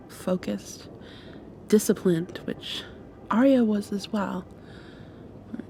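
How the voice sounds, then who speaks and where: A woman playing a shy, tender young girlfriend in an intimate ASMR roleplay, speaking softly and haltingly and often slipping into a whisper. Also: medium pitch, a little hiss or hum. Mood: wistful, sorrowful. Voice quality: soft, breathy, often dropping to a whisper. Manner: hesitant, halting, confiding. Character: shy, tender young mosquito girl confessing past heartbreak to girlfriend.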